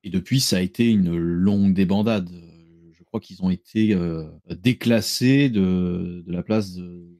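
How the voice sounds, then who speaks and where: A man speaking as a guest in a casual political discussion, measured and explanatory, with ironic asides. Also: low-pitched, dark tone. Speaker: guest commentator.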